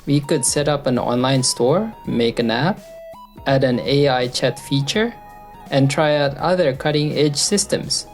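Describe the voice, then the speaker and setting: Woman as earnest young employee, very deep register, manga dub narrator, loyal, conversational, faint music behind. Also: unhurried. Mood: eager pitching. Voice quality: low-pitched voice. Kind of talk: anime-style manga dub with first-person narration. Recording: background music under the voice.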